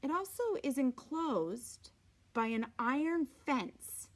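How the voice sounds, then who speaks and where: A woman with a high, very animated voice reads a story aloud. She takes her time, shaping phrases deliberately and dramatically, as in an expressive read-aloud for listeners following along. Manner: deliberate, dramatic phrasing, sometimes dropping to a hush.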